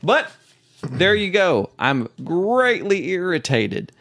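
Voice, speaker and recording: A man's voice: high-pitched, big pitch swings, slow, a bit bright. Male co-host with an Oklahoma accent, rambling casual chat, jokey mock-grumpy tone. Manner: rambling, mock-irritated, joking. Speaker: podcast co-host. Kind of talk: casual podcast banter.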